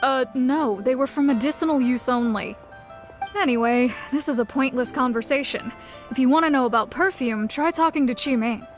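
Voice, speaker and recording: A woman's voice: sits high, moderate pace, faint music behind, natural voice. An actress playing a self-assured, no-nonsense female guild master in a video game, with a casual, blunt manner. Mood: defensive, brisk. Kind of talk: video game character acting.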